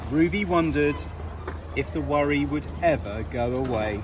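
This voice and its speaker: A man reading a children's storybook aloud in an expressive, deliberate way, with dramatic pauses. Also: a little high, noisy background.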